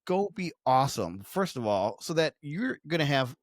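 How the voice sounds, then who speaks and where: A man's voice: on the high side, very animated, moderate pace, no room sound, studio quality. A man co-hosting a podcast, talking casually and informally in an anecdotal way. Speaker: podcast co-host.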